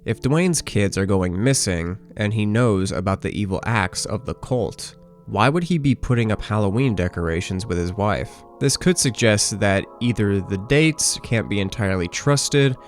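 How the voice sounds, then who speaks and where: A man with a low voice narrates a scripted video essay over faint music. He explains things in a measured but conversational way, like an analyst walking through material step by step. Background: background music.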